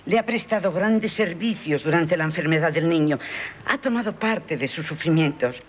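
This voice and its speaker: A man in a 1970s radio drama playing a calm, gently teasing family member who reasons open-mindedly. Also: on the high side, normal speed, slight background noise, close to an everyday voice. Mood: teasing, reasoning. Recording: dull, band-limited 1978 radio recording.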